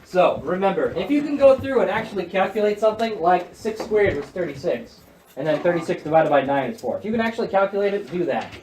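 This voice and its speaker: A man's voice: high-pitched, animated, quick pace, a little mellow. A male math teacher walking a class through problems step by step. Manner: plain, coaching students step by step. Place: high school classroom. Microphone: room mic from across the room. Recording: echoey classroom, mic far off.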